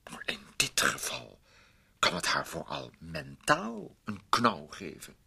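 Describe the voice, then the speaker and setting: Meddling gentleman from a radio play, with a deep, creaky voice that swoops expressively. He is fussily polite and wheedling, hesitating and repeating himself as he insinuates. The tone has a forceful edge. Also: huge pitch swings, takes it slowly, full character voice. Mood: earnest warning.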